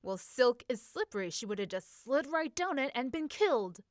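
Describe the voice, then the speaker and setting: Earnest, protesting younger brother, performed by a female narrator: raised pitch, clean story recording. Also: big pitch swings, normal speed, no echo, clean studio sound. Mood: defensive, grumbling. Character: anxious, grumbling teenage brother Gregory.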